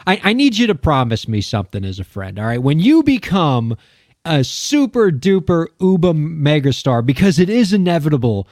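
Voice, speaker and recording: A man's voice: on the high side, sing-song, moderate pace, darkish tone, dry recording, clean studio sound. A man hosting a radio interview show, enthusiastic and effusive with his guest. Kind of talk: casual interview chat.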